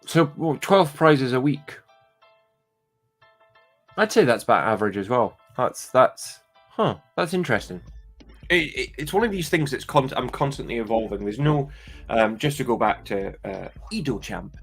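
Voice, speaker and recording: A man's voice: mid-range voice, animated, normal speed, slightly rough, faint music behind. A man with a light Scottish accent chatting casually to his viewers as a vlogger, rambling and hesitant with many restarts. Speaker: toy and claw machine vlogger.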